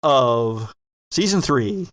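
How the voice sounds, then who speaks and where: A man narrating a horror tabletop roleplaying game as game master, with dramatic, drawn-out delivery. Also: mid-range voice, lively intonation, a bit bright, dry recording.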